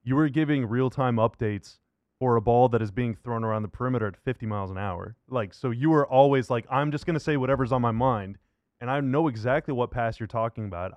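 A man with a medium-pitched voice hosts a casual sports conversation. He talks with easy enthusiasm, and the recording is dry and close. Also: a little mellow, dry recording. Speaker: podcast host.

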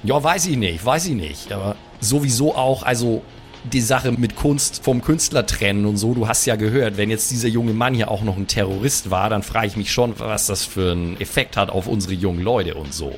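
A man's voice: lowish voice, moderate pace, faint music behind, natural voice. A man playing a grumbly old pirate. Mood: grumbling. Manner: grumbly, folksy, keeps saying "Mensch". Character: grumbling older male pirate brother Hansen.

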